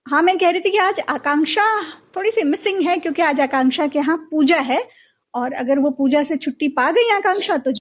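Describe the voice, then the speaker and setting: A woman with a high-pitched voice hosts a casual live talk programme. She speaks conversationally, and the sound is slightly dull and lo-fi. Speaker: host of a writers' interview programme.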